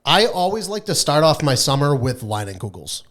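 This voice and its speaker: Brash man chatting, slightly higher-pitched voice. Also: big pitch swings, moderate pace, a bit bright. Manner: brash, profane, emphatic. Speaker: podcast co-host. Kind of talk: casual irreverent chat.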